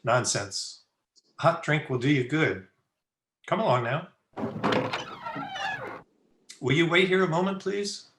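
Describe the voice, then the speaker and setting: A man playing a kindly, earnest young gentleman in an old-time radio drama. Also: a little high, animated, moderate pace, slight background noise. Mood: concerned, insistent. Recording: roomy home video-call audio.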